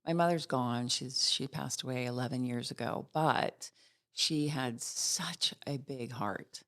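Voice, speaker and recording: Middle-aged woman, podcast guest, positive and sincere, light gravel in the voice. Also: extremely low pitch, unhurried. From Virginia. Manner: warm, upbeat, heartfelt. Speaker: financial executive guest. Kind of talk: casual interview chat.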